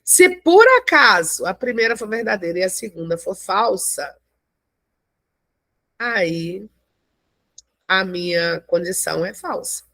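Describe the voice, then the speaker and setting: A woman's voice: medium pitch, expressive, a bit bright, dry recording. A woman teaching a math lesson, explaining step by step and often checking in with her listeners. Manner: explains slowly with frequent check-ins. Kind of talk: classroom lecture.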